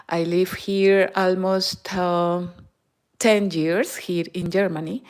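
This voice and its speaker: A Peruvian woman with a Peruvian Spanish accent, hosting a live crochet tutorial in slow, halting English, often switching into Spanish. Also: medium pitch. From Peru. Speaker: crochet channel host. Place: home in Germany.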